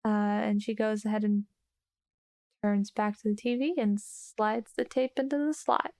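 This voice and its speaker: A woman's voice: medium pitch, steady tone, a little slow, warm tone. A woman player casually narrating her character in a tabletop game, thoughtful and hesitant.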